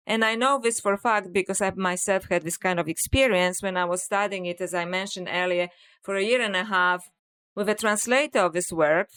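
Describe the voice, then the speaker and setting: A woman with a Polish accent, a guest in a podcast interview, explaining things in a measured, reflective way with frequent "you know.". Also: mid-range voice, fairly bright tone. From Poland. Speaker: author and scholar, podcast guest. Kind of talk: interview conversation.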